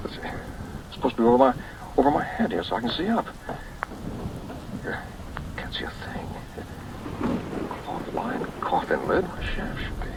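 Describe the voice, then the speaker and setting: Deep male voice, bewildered, halting, slow, wildly varying pitch, noisy old radio drama. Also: vocal fry, exaggerated voice. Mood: growing panic. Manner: halting, muttering to himself, then calling out. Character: groggy, bewildered man buried alive in a coffin. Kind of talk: old-time radio thriller monologue. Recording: distant, noisy old radio recording, rain behind.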